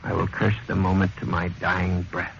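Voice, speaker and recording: A man's voice: deep voice, completely flat delivery, normal speed, vocal fry, very noisy. An American actor playing a haunted, obsessive office computer man, narrating his own story in melodramatic 1950s radio drama style. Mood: brooding, mounting dread. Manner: melodramatic, portentous delivery. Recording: muffled vintage 1950s radio recording. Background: dramatic music.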